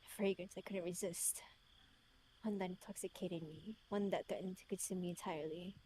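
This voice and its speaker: A woman voicing a lonely, remorseful man with a British accent, reading his confession in a solemn, literary, measured way. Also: lowish voice, steady tone, noisy background, lightly acted. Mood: melancholy, brooding.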